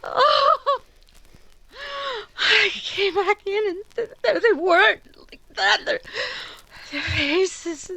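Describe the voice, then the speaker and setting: A woman playing a shocked young woman who speaks haltingly in a 1940s-style radio drama. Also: very high voice, lively intonation, creaky, pushed and bright, no room sound, full character voice. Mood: distraught. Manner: halting, stammering. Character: shocked, faltering young woman, banker's eldest daughter.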